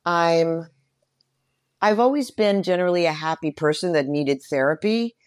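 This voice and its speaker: A woman's voice: fairly low pitch, moderate pace, clear, resonant tone, no echo, clean studio sound. A middle-aged woman speaking earnestly and reflectively in a casual interview, often affirming what she hears. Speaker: podcast guest, performer and mentor.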